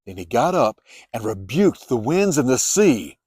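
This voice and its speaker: A man narrates scripture in an expressive storytelling style. His pitch moves widely as he goes, and the sound is dry, with almost no room on it. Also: unhurried, breathy edge, no echo. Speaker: narrator, Bible reader. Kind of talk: dramatized scripted Bible narration.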